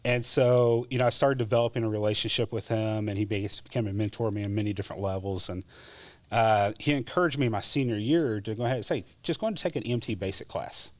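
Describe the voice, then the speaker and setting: Low-voiced man telling his story. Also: fast talker, no echo. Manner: relaxed, chatty, laughs often, many fillers. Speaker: guest, former EMT. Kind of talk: casual interview storytelling.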